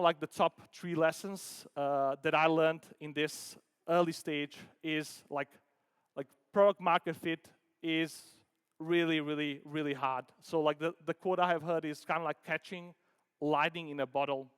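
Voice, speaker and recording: Man, conference speaker from Denmark, slightly high clear voice, informal, halting, filler-heavy phrasing, reverberant hall. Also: a bit bright. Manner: halting, conversational, heavy use of "like" and "you know". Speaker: startup founder giving a conference talk. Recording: echoey hall with long reverb.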